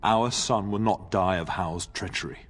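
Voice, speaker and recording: An actor playing a gravely wounded middle-aged noble father in a video game, halting and labored, loving and dutiful. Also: low-pitched. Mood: solemn, tender. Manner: halting, pained, labored delivery. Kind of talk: dramatic video game acting.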